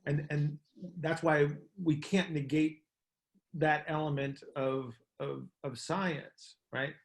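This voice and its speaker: A man's voice: a little high, level tone, slow. A man hosting a coaches' call, explaining things in a casual discussion and often checking in with "right?". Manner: often ends points with "right?".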